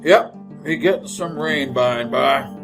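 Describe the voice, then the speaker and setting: An actor playing a greedy, folksy wagon driver in an old-time radio western, talking in blunt rustic cowboy speech. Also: male voice, medium pitch, takes it slowly, creaky, over music. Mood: idle, calculating. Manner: drawling rustic cowboy grammar, blunt, jovially callous.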